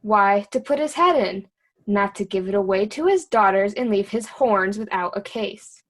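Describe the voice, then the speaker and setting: A woman's voice: medium pitch, animated, normal speed, close to an everyday voice. A woman playing a cheeky, riddling boy jester with a teasing, sing-song delivery in Shakespeare. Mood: teasing riddles. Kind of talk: Shakespearean reader's theatre acting.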